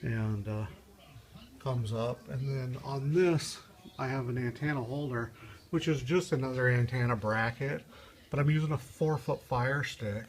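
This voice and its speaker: A man talking through a casual, unscripted DIY car how-to as a vlogger, halting and rambling as he thinks aloud. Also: mid-range voice, a little hiss or hum.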